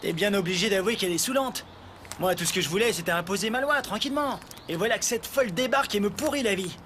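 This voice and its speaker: An actor voicing a brash, hot-tempered young male student fighter in a French anime dub, often yelling in exasperation. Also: high voice, fast, slight background noise. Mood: grumbling.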